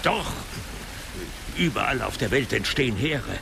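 Gravelly, regal monkey king. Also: medium pitch, lively intonation, a little slow, background noise. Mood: proud, grave. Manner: weighty, regal. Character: monkey-folk king, imposing and warlike. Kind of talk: German fantasy radio drama acting. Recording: loud insect and jungle ambience.

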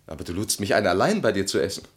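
An actor in a German radio play playing an awkward, polite husband hosting a party, speaking hesitantly and evasively. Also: male voice, sits low, big pitch swings, fast talker, slightly rough, full character voice. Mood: uneasy.

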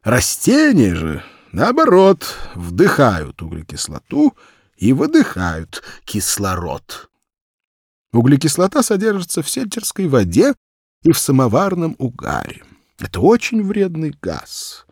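A man voicing a sweet young governess in an audiobook, giving a lesson in an absent-minded, measured, didactic way. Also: a little high, huge pitch swings, slightly rough, no echo, clean studio sound, voice pushed up, full character voice. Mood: dreamy, instructive. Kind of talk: audiobook character dialogue.

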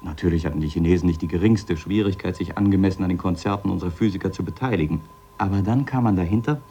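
An eager scientist in a radio play, low-pitched and mellow. He lectures insistently, like a professor setting out bold theories, with some background noise in the 1970s recording. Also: gravelly. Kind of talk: 1970s German radio drama acting.

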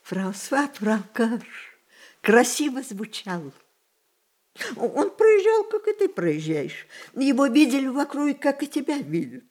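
An actress playing a spirited, imperious old woman in a radio play, coaxing and reminiscing in an expressive, theatrical way. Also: high voice, unhurried, full character voice. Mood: wistful, excited. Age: older.

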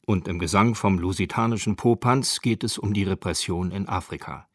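A man's voice: lowish voice, no echo, studio quality. A man with a standard German accent reading scripted narration for a radio documentary.

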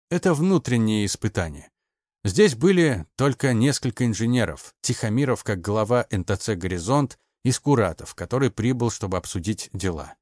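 A male audiobook narrator with a mid-pitched voice. He delivers scripted, dramatic prose as a storyteller. The sound is dry, with no room echo. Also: a little mellow.

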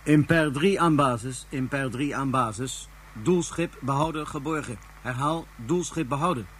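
An actor playing a terse, commanding spaceship pilot in a radio drama, reporting in clipped phrases. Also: male voice, mid-range voice, normal speed, a bit bright, slightly rough. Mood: businesslike. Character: terse male highborn spaceship pilot on a covert mission.

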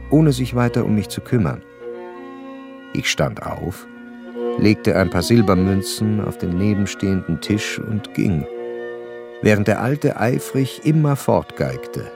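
A man acting as a gentleman narrator, telling a literary story in a measured, sober way for a radio drama. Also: on the low side, gravelly, exaggerated voice. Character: observant gentleman narrator recalling a poor musician.

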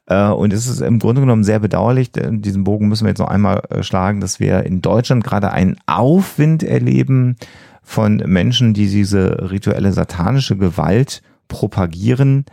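A man's voice: lowish voice, normal speed, creaky, mellow, no room sound, clean studio sound. A man, co-hosting a podcast and explaining things in an earnest, emphatic way. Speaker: podcast co-host.

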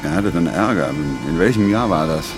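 An actor playing an easygoing, chivalrous middle-aged cook in an audio drama, chatting in an affable, folksy way. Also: male voice, deep voice, brisk, over music, natural voice. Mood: friendly, easygoing. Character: kindly grey-haired cook, easygoing, chivalrous older man.

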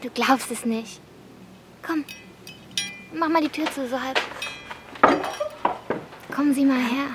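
A woman's voice: high voice, takes it slowly, pauses often, very noisy. A young woman playing a stubborn, terse thirteen-year-old girl from a war-torn village in a naturalistic radio drama. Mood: determined, secretive.

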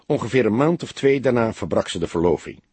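Guy voicing cagey young office woman, evasive and curt, husky, audiobook, dry recording. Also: fairly low pitch, animated, fast talker, darkish tone, no room sound. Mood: guarded, curt. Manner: evasive, hesitant, reluctant. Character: guarded, curt young female office worker under questioning.

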